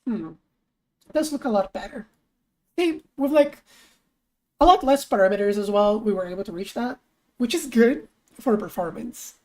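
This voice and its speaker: A young woman talks her way through her work on a live stream, casual and chatty, thinking aloud. Her voice sits fairly high but sounds mellow and a little lower than usual today. Now and then she bursts into high, excited greetings. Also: moderate pace, pauses often. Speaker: streamer and artist. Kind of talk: casual live-stream chat while working.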